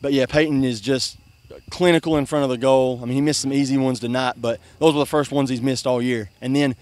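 A man's voice: medium pitch, fairly even intonation, a little fast, a little mellow. A young man, a soccer coach giving a postgame interview outdoors by a river at night, soaked from a celebratory jump, talking earnestly with many restarts and "I mean". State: soaking wet after a river jump. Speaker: high school soccer coach.

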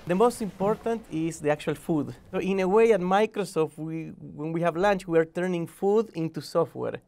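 A man's voice: high-pitched, moderate pace, a little mellow. A man in his forties from Argentina, chatting casually in an interview, hesitant with lots of fillers and restarts. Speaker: recruiter at a tech company. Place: office building and company shuttle.

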